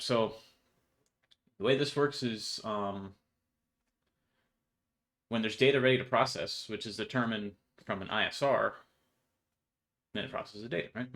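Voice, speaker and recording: A man's voice: fairly low pitch, a bit bright. A young man giving a casual, offhand walkthrough of code in an informal explanatory way. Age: young adult. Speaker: programmer presenting own project. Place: indoors in a home room.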